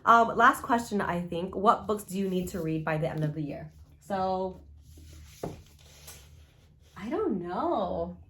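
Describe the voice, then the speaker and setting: A woman's voice: on the low side, animated, slow pace, pauses often, sharp tone, slight background noise. A woman chatting casually as a book vlogger, gushing and rambling informally with frequent asides.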